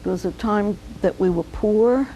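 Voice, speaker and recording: An older woman, a physician, telling her life story slowly and thoughtfully in an oral history interview. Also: mid-range voice, warm tone, a little hiss or hum, rough recording. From United States. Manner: measured, reflective storytelling.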